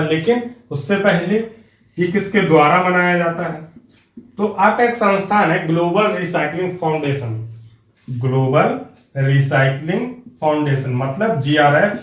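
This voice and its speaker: Man, Indian accent, teacher, repetitive drilling, fairly high voice, echoey room. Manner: repetitive, drilling facts, addresses students directly. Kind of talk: exam-prep lecture.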